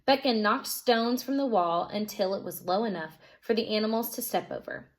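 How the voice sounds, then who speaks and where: A woman teacher reading a children's storybook aloud in an expressive narrating style. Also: mid-range voice, normal speed.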